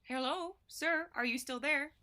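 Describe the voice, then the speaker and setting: A woman playing a calm, professional emergency dispatcher in an audio drama. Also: sits high, a little slow. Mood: calm, businesslike.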